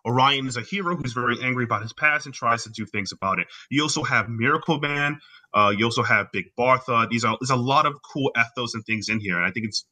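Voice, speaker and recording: A man's voice: medium pitch, bright, forceful tone. A man with a Haitian accent co-hosting a podcast, chatting casually and explaining things at length with enthusiasm. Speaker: podcast co-host. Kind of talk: casual chat.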